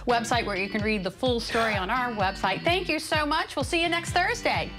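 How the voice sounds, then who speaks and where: A woman's voice: on the high side, expressive, a little fast, music in the background. A woman co-hosting a TV show from a studio, introducing a guest and asking him questions in an upbeat presenter manner. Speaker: TV show co-host. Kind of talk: scripted TV segment hosting. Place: TV studio set.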